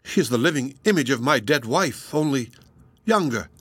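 A man voicing an anguished, stunned middle-aged gentleman in dramatic, broken exclamations for an audiobook. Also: medium pitch, expressive, normal speed, breathy edge, no echo, clean studio sound. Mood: stunned, remorseful.

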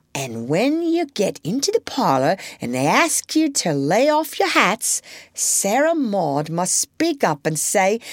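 Bossy rustic storybook mother with a big swooping voice and dry close sound, as a woman puts her on. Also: dry recording. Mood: instructing. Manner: scolding, high-pitched and emphatic. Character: bossy proud poor mother, rustic speech.

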